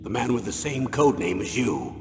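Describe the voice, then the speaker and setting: A man playing an older military colonel in a video game, briefing in a measured, authoritative, gravelly voice. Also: expressive, natural voice. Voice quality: low, gravelly. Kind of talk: dramatic video game voice acting. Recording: reverberant, with music behind.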